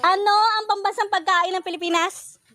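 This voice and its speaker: Very high-pitched Filipino woman quiz host. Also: steady tone, moderate pace, bright, forceful tone, slight background noise. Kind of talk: reading out trivia questions to contestants.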